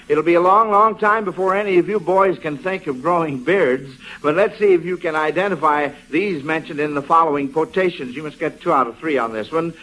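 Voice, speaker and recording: A man's voice: a little high, fairly bright tone, rough recording. An American man hosting a 1940s radio quiz show for children in a folksy, avuncular manner. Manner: folksy, avuncular, addresses children as kids and son.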